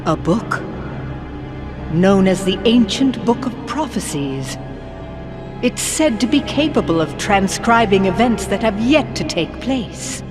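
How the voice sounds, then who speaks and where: The scheming sorceress of a fantasy video game, unhurriedly plotting in lofty, menacing words over a musical score. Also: female voice, mid-range voice, big pitch swings, takes it slowly, over music. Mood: scheming, grand. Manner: haughty, theatrical, formal diction. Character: regal, scheming evil sorceress, haughty and menacing. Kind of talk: animated video game villain acting.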